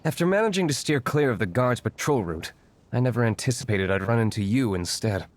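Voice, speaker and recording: A man's voice: mid-range voice, expressive, a little fast, lightly acted. An actor voicing a brooding, aloof young nobleman vigilante in a game, formal, curt and dryly serious. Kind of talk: game character dialogue.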